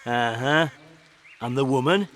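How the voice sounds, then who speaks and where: An actor playing a wary, officious town guard in a fantasy video game. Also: male voice, medium pitch, a little slow, a bit bright, close to an everyday voice. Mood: suspicious. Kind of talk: dramatic video game acting.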